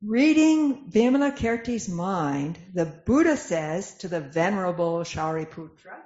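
A woman's voice: fairly low pitch, big pitch swings, unhurried, darkish tone. A woman narrating a dramatic reading of scripture from a script. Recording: echoey room, dull lo-fi sound.

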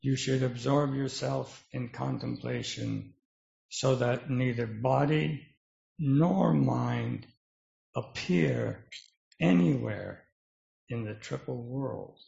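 A man playing an earnest, humble disciple, speaking haltingly in short phrases in a dramatic reading of scripture. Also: medium pitch, creaky, a little mellow. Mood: reluctant. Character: earnest, humble, hesitant male disciple of Buddha. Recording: roomy, dull lo-fi sound.

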